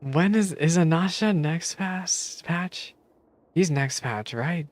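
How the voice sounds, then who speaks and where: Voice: medium pitch, takes it slowly, no room sound. A game streamer chatting casually on a live stream, rambling and thinking aloud while reacting to story cutscenes. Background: game music.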